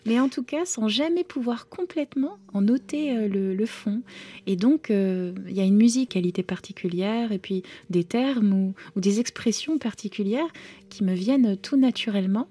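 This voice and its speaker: Soft-spoken woman, Romanian native. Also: medium pitch, no room sound. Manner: thoughtful, hesitant, many pauses and fillers. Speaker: novelist guest. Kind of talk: reflective interview answers.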